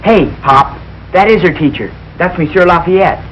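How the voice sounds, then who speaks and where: A man's voice: high-pitched, moderate pace, close to an everyday voice. A young male actor playing an eager, innocent son in a 1940s radio situation comedy, casual and slangy. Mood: candid. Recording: dull, noisy 1940s radio recording.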